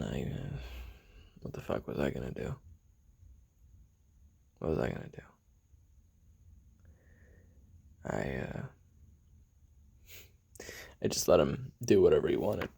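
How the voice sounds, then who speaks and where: Man playing a very deep, gravelly brooding assassin. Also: exaggerated voice. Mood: bitter, reflective. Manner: halting, casual, profane, with sighs and trailing pauses. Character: brooding, hot-tempered male assassin recording his memoirs. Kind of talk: audio roleplay monologue, confessional reminiscing.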